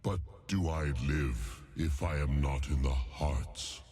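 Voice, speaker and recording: An actor voicing an ancient, wrathful giant bear god of storms in a video game, with a deep gravelly growl and slow, grandiose proclamations. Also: male voice, a little mellow. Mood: grim, proud. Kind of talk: video game character voice lines.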